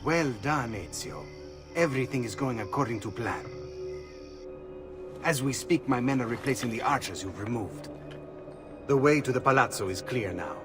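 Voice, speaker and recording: A man playing a measured, commanding leader of thieves in a video game. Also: medium pitch, animated, over music, lightly acted. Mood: approving. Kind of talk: video game cutscene acting.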